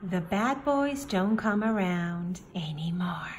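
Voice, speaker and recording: A woman reading a picture book aloud to young children, in simple phrases with deliberate pauses. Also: lowish voice, lively intonation. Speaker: children's story reader. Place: indoor room, book filmed on a table. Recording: faint room noise behind the voice.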